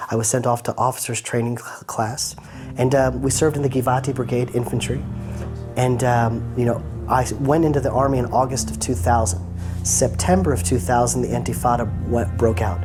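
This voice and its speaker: A man in his thirties from Atlanta, giving an earnest personal testimony as an interview guest in short fervent phrases. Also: mid-range voice. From United States (Atlanta).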